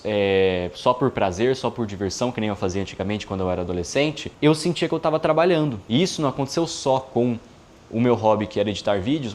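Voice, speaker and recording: A man in his early twenties, a video editor, talking casually to his viewers in a relaxed, chatty way with frequent filler tags. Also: mid-range voice. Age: 20s. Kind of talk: casual vlog monologue.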